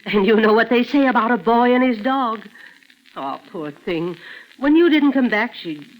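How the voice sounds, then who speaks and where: Woman playing a fond, fussing mother, animated. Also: fairly high pitch, normal speed, natural voice. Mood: probing, wistful. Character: fond, fussing, gently probing middle-aged mother. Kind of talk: 1940s radio acting. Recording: noisy old radio recording.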